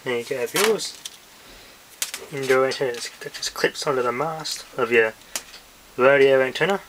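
Noisy camera mic, aussie guy muttering thru a hands on demo, casual, normal pitched voice. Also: moderate pace. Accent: Australian. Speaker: hobbyist video maker. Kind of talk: casual hands-on demonstration, talking while working. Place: indoors at home, working by hand. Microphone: handheld camera microphone. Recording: noisy handheld camera audio with clicks.

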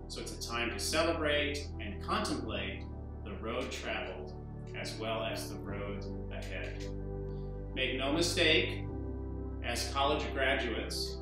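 An older man, a symphony conductor, giving measured, formal congratulations seated at a piano indoors. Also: medium pitch. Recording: very echoey, far mic, music behind.

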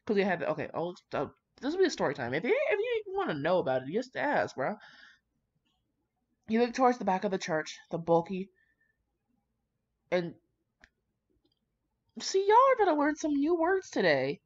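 A woman's voice: mid-range voice, huge pitch swings, normal speed, long pauses. A young woman reading fan fiction aloud in an informal, slangy way, stumbling over words here and there. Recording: dull, muffled lo-fi home recording.

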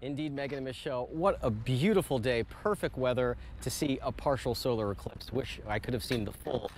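A man in his fifties, a TV news reporter, giving a live report and narrating in an earnest, lively way. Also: medium pitch, fairly quick, soft background music. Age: 50s. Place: outdoors on a large open city lawn.

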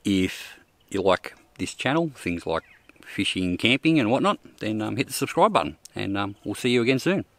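A man's voice: lowish voice, big pitch swings, moderate pace, a bit bright, dry recording. An older Australian man reviewing camping cookware outdoors in the bush, talking plainly with frequent ums and restarts. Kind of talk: casual gear review vlog. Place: outdoors in open bushland.

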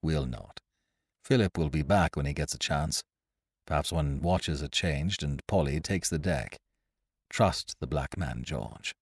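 A man's voice: very deep voice, unhurried, husky, no room sound, studio quality, exaggerated voice. A man voicing a reckless, jovial male sea adventurer who speaks in swaggering, old-fashioned seafaring talk. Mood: hushed. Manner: swaggering, archaic seafaring speech.

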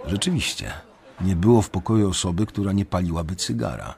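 A man's voice: low voice, big pitch swings, creaky, a little mellow, full character voice. A man playing a brooding, conscience-stricken young banker who narrates a radio drama in the first person, introspectively. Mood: brooding, measured. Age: young adult. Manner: measured, introspective storytelling.

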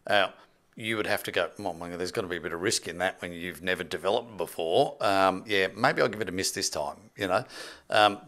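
A man's voice: sits low, pushed and bright. A man in his sixties telling stories conversationally and emphatically as an interview guest, on a close studio microphone. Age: 60s. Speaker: podcast guest, property development expert. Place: small indoor podcast studio. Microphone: close boom-arm studio microphone with headphones.

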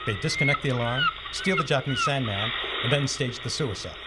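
A middle-aged man playing a genial, hard-boiled police lieutenant with a husky voice in an old-time radio drama on stage. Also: mid-range voice, huge pitch swings, a little fast, heavy background noise. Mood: matter-of-fact. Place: on a stage, live performance. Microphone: large vintage-style microphone on a stand.

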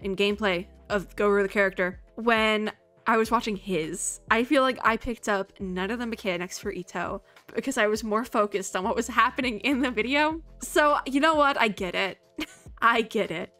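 A young woman giving casual, excitable reaction commentary as a video host, often repeating herself. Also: medium pitch, moderate pace, soft background music.

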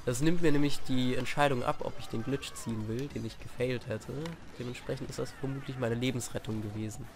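A young man with a cold, chatting through gameplay as a let's play host, with sudden shouted outbursts, recorded on a sofa at home. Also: medium pitch, steady tone, brisk. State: has a cold, clears throat. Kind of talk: gameplay commentary. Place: living room at home, on a sofa. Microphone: desk mic propped on games on the sofa. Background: faint game music.

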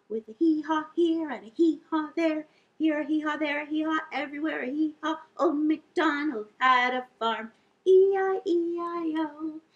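High-pitched woman leading children's storytime. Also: highs cut off. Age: middle-aged. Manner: animated, encouraging, addressing children directly. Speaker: children's library storytime host. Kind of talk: sing-along storytime for young children. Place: indoor lounge with couch and fireplace.

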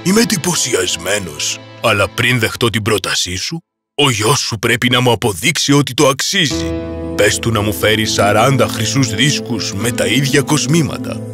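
An actor playing a proud old Sultan, regal and pompous, in an animated fairy tale. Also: male voice, medium pitch, soft background music. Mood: impressed, demanding.

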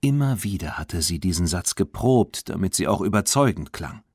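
A man reading a children's audiobook as an expressive, clearly enunciated scripted narration. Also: deep voice, normal speed, no echo, clean studio sound.

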